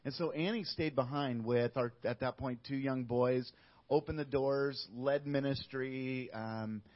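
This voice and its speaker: A middle-aged man, a missionary guest, telling his story in a casual interview with frequent pauses and fillers. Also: medium pitch. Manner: steady, with many uh fillers and restarts. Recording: dull lo-fi audio.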